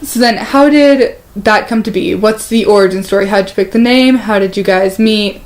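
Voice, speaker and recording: A woman's voice: medium pitch, moderate pace, a little hiss or hum. A woman asking questions in a casual interview.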